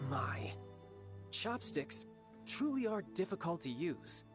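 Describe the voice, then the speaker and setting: A man's voice: high voice, big pitch swings, slow, exaggerated voice. An actor playing a breezy, self-assured young man in a video game, light, playful and casual. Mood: breezy, wry. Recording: game music behind.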